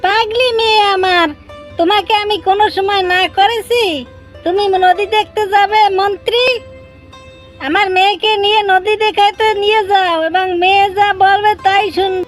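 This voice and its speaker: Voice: very high-pitched, steady tone, normal speed, a bit bright. A performer voicing an indulgent, fretful bird elder who coaxes and placates in a children's cartoon. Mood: doting. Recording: music bed behind the voice.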